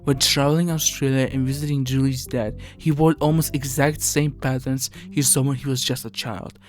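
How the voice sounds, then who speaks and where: A man's voice: medium pitch, little pitch movement, moderate pace. A man reading scripted video essay narration in an earnest, somber, explanatory way. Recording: music under the voice.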